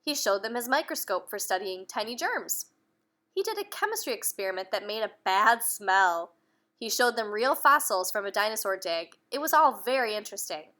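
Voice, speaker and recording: A woman reading a children's story aloud in an animated manner, pausing to put questions to young listeners. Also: mid-range voice. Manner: animated, addresses children directly. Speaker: picture-book reader.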